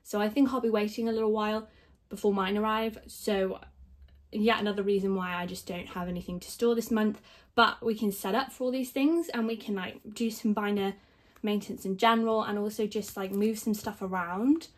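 A young woman whose voice is still croaky from screaming at a concert, talking in a loose, chatty, rambling way. She thinks out loud and makes little self-deprecating asides while she works at a table. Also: medium pitch, fairly even intonation, fairly quick. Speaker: k-pop collector vlogger. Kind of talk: casual rambling narration over binder organizing. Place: indoors at a table, filming the binder from above.

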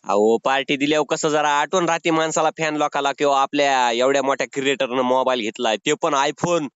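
A man's voice: mid-range voice, fairly quick, fairly bright tone, smooth, dry recording. A man making a prank phone call, bantering in an insistent, wheedling, mock-pleading way. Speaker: prank caller. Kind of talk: unscripted-sounding phone banter. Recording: dull lo-fi phone call recording.

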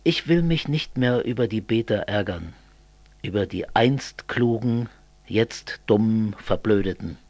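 A man playing an earnest, brooding communist in an amateur radio play, speaking in a measured way. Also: on the low side, animated, a little mellow, no room sound.

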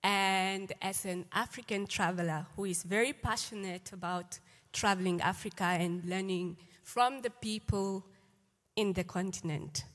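A woman from Namibia, a student on a panel, introducing herself in a warm, slightly shy way. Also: mid-range voice, a little slow. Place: large lecture hall stage. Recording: reverberant large hall.

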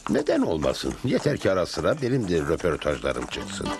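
A man's voice: deep voice, huge pitch swings, moderate pace. A man voicing a dry, self-satisfied talking horse in a dubbed cartoon, with a wry manner. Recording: loud music bed under the dialogue.

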